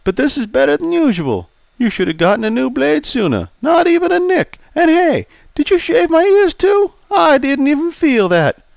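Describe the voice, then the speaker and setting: A man's voice: very high voice, big pitch swings, moderate pace, vocal fry, hardly any pauses, no echo, voice pushed up, full character voice. A man voicing a large, smug older male customer who teases in a folksy way. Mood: teasing. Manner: teasing, folksy.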